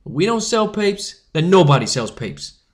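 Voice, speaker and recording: A man's voice: sits high, sing-song, moderate pace. A man playing a defiant, streetwise young newsboy leader with slangy, commanding speech in an audio drama.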